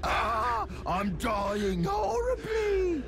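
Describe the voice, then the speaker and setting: Video game orc assassin: theatrical mocker, high pitched, wildly swooping, overblown fake helplessness. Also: male voice, huge pitch swings, drawn-out words, creaky, shouting, heavy background noise, exaggerated voice. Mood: mock panic. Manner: mocking melodrama. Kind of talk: video game character acting. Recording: music behind the voice.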